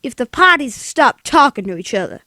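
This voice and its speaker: A man voicing a polite but unhelpful female telephone operator in an old radio play, formal and measured. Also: extremely high pitch, sing-song, pushed and bright, dry recording, exaggerated voice. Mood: officious. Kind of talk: 1940s radio play acting.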